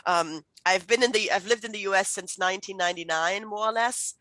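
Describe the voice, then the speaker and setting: A woman's voice: mid-range voice, a little fast, no echo. Chatty German-born woman teaching over video call. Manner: chatty, animated, many fillers and restarts. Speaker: writing instructor. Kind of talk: online workshop lecture.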